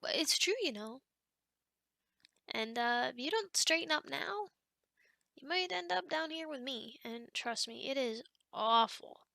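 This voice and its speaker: An awkward young female sleep demon, acted by a woman, with a high, bright voice in a very dry, close recording. She rambles apologetically in a hesitant, chatty way, slipping toward whispers as in an ASMR roleplay. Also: lively intonation, unhurried, creaky, dry recording, full character voice. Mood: earnest warning.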